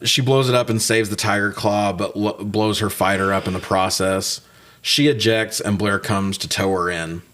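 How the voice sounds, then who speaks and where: Low-voiced man riffing sarcastically. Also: steady tone, moderate pace, a bit bright. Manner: sarcastic, crude joking, profane. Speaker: podcast co-host reviewing movies. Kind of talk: comedic movie recap, casual talk.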